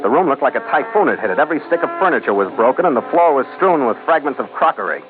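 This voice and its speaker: A man's voice: mid-range voice, sing-song, very fast, natural voice. A man playing a tough, wry sea captain who also narrates, in 1940s radio adventure style with a sardonic, hard-boiled manner. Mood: wry narrating. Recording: tinny old radio recording, loud music.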